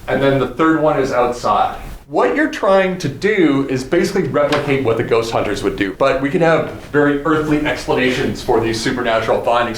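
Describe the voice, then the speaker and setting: A man giving a class instructions in an old university building, in a relaxed, explanatory way with dry jokes. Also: a little high. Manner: relaxed, explanatory, dryly joking. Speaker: psychology instructor. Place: old university hallway, indoors. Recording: echoey hallway with noise.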